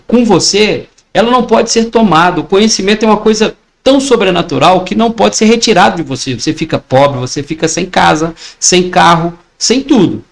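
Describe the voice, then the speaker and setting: A Brazilian man in his forties with a Brazilian Portuguese accent, teaching an online exam-prep lesson in a persuasive way, asking and answering his own questions. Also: a little high.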